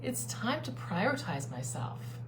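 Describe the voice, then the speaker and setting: Woman, life coach, mid-pitched, earnest and persuasive, live stream with a steady hum behind her. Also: measured pace. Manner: earnest, persuasive, repeats key phrases. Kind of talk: live-streamed talk to a online group.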